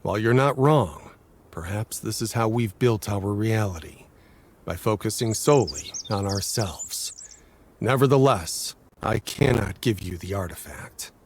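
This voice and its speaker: An actor playing a cautious, solemn werewolf leader in a game cutscene, with a husky voice and a measured, guarded delivery. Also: male voice, lowish voice, lively intonation, darkish tone, a little hiss or hum. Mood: firm, regretful. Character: cautious, solemn male werewolf leader sheltering his people. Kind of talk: dramatic game cutscene acting.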